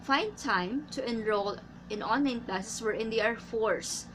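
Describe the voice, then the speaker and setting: A woman from the Philippines with a Filipino accent, an English teacher giving tips in a casual vlog, speaking carefully with many pauses and fillers. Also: a little high, fairly bright tone, slight background noise, poor audio. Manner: slow and careful, frequent uh fillers.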